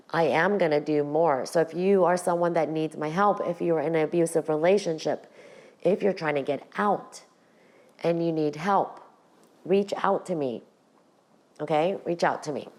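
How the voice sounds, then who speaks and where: A middle-aged woman with a raspy voice, hosting her own podcast and talking frankly to her viewers about her past. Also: low-pitched, a little mellow. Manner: candid, direct address to viewers. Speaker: podcast host. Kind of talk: personal storytelling to camera.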